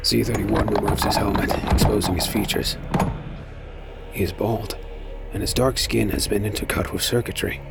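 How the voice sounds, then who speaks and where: A man plays a wary pirate telling his story in a sci-fi audio drama. His voice is very deep and gravelly, brooding and measured, with music underneath. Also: fairly even intonation. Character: wary pirate narrating his own captive tale. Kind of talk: scripted first-person narration in audio drama.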